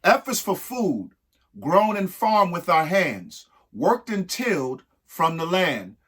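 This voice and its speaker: Husky man reading aloud with lively, swooping pitch. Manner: emphatic, pausing between short phrases. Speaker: reader of a children's picture book. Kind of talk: expressive read-aloud of a rhyming alphabet book with spoken asides.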